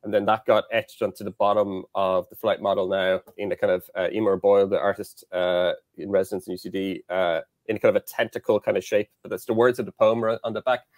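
Young Irish man, low voice, dry headset sound, halting guest on a call. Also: flat delivery, a little mellow, dry recording. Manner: hesitant, lots of fillers and restarts. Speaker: guest, satellite engineer. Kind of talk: casual interview chat. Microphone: headset on video call.

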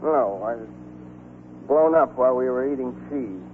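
An actor playing a weary, wry young army lieutenant with an understated delivery, in 1940s radio drama style. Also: male voice, mid-range voice, moderate pace, slight background noise, lightly acted. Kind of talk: 1940s radio acting and narration. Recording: tinny 1940s radio with music.

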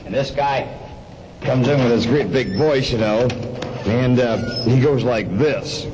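A man's voice: medium pitch, measured pace, background noise. A man hosting a morning show as a 1970s AM radio DJ, with jokey, mock-bumbling patter and sponsor reads. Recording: 1972 AM radio aircheck, music behind.

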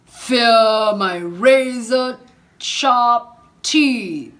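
A woman voicing a boastful male crocodile who loves being scary, with slow, booming boasts. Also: a bit bright.

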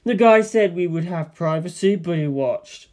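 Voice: mid-range voice, animated, normal speed, darkish tone, close to an everyday voice. A performer playing a crude, shifty male office worker in a film script reading. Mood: matter-of-fact.

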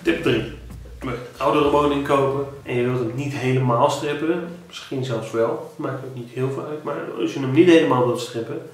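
A man in his thirties with a Dutch accent, giving home-buying tips in a casual, animated vlog with many restarts. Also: mid-range voice, moderate pace, soft background music. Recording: very echoey room, a bit distant.

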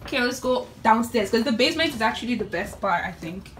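A woman in her twenties chatting casually for a vlog with friends, giggly and excitable, recorded on a phone. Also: a little high, fast, background noise. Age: 20s. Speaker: vlogger. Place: moving car and rental house rooms. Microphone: phone at arm's length. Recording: echoey room with music behind.

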